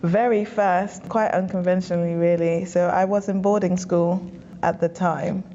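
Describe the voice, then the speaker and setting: A young woman from Ghana, an interview guest, telling her life story in a relaxed, chatty way with easy laughs and small restarts. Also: on the low side, moderate pace, dull audio.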